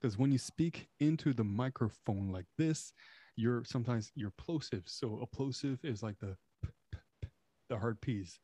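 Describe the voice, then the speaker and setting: A man's voice: mid-range voice, moderate pace, mellow, no room sound. A man whose first language is French, hosting a podcast over a remote call. He jokes around and explains microphones at length into a dynamic mic. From Canada. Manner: joking, explains gear at length. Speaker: podcast host. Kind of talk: casual interview chat.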